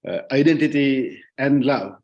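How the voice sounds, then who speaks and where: A Sri Lankan man speaking thoughtfully on a discussion panel, reflective and halting as he searches for words and repeats phrases. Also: medium pitch, gravelly, highs cut off. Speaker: panelist, journalist and writer. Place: online discussion call.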